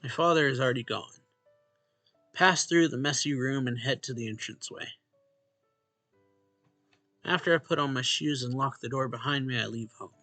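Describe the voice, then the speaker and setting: A man with a bright, crisp-edged voice reads a visual novel aloud as a let's play host. He moves between narration and casually acted dialogue. The recording is dry and close, with faint music underneath. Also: medium pitch, normal speed, long pauses, no echo.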